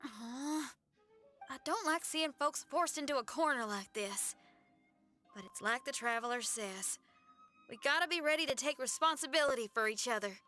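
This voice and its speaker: A woman's voice: high-pitched, animated, normal speed, sharp tone. A woman playing a loyal, plain-spoken young female adventurer in an anime game, earnest and folksy. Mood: earnest, uneasy. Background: faint music.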